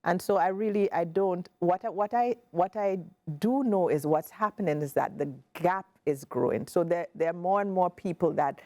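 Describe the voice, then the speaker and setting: Caribbean lady in her 40s, deep-ish voice, talks steady and stresses her points. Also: dry recording. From Grenada. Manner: measured, emphatic, repeats points for stress. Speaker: guest, company CEO. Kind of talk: casual interview talk.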